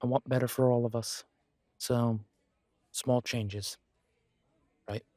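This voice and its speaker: A man's voice: medium pitch, flat delivery, darkish tone, dry recording, natural voice. A man playing a supportive, self-deprecating best friend in a conversational roleplay audio, talking in a reassuring, reflective way. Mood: hopeful. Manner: reassuring, chatty, reflective pauses. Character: warm, encouraging male best friend, self-deprecating, gently earnest.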